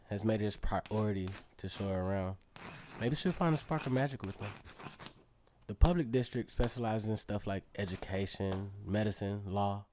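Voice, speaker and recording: A man's voice: lowish voice, dark tone, slight background noise, lightly acted. An actor playing a cocky, dreamy teenage circus acrobat who narrates his own story in a casual, self-assured way for a radio drama. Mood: dreamy, admiring. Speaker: narrator and lead character. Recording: music playing behind the voice. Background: instrumental music.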